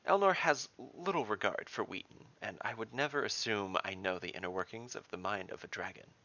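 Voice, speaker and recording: A man voicing a dry, wry wizard cat in a fantasy audio storybook, deadpan and resigned. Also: on the low side, fairly quick, dry recording, muffled recording.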